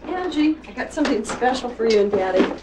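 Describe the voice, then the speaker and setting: A woman's voice: on the high side, animated, brisk, noisy background, close to an everyday voice. An actress playing a weary, irritable alcoholic mother in a 1980s educational film drama. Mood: coaxing.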